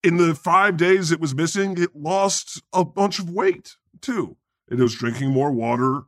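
A man with a bright, forward voice riffs through comedic news banter, teasing his co-hosts and breaking into laughter. The sound is dry and close, with no room around it. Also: a little high, unhurried, no room sound. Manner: playful, teasing, laughs often. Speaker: comedy podcast host.

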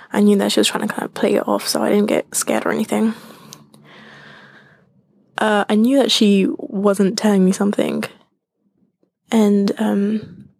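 A woman's voice: medium pitch, darkish tone, dry recording, clean studio sound. A young woman with a Colombian-British accent, telling a story in a casual, rambling way with many fillers. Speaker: listener sending in a story.

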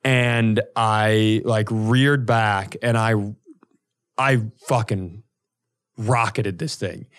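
A man's voice: fairly low pitch, fairly even intonation, extremely slow, fairly bright tone, clean tone. A man co-hosting a comedy podcast, telling casual stories in a rambling, profane way with comic exaggeration.

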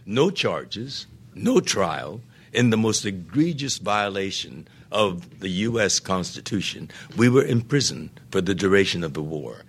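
An older man speaking as a podcast guest, earnest and deliberate, saying his voice is going. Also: sits low, very animated, slightly rough. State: losing his voice. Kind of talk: interview conversation.

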